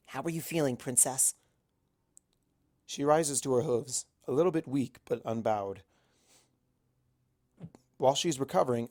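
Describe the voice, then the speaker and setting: Man, middle pitch, gravelly fry, amateur audiobook narrator, first-person storytelling. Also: darkish tone.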